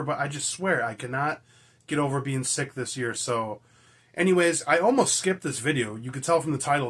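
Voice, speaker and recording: A raspy man, hoarse from being sick, from Michigan, chatting enthusiastically and rambling as a movie-collecting vlogger. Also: on the high side, a bit bright. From Michigan, USA. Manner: enthusiastic, rambling, frequent fillers and mild swearing. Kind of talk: casual chat to camera.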